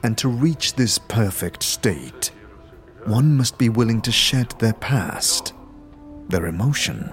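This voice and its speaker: A man playing a solemn Dustman elder in a fantasy audio drama, speaking in a measured, formal, philosophical manner. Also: mid-range voice, very animated, soft background music. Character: solemn Dustman elder preaching oblivion and detachment from emotion.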